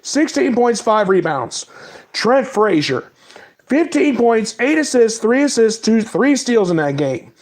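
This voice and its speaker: A man with a fairly high voice and a cold runs through game scores and player stats in a loose, casual monologue. He reels off the numbers in quick lists. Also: very animated. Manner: reads stats in quick lists, apologizes for mispronouncing names. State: has a cold. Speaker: sports talk host. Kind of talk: casual sports recap monologue.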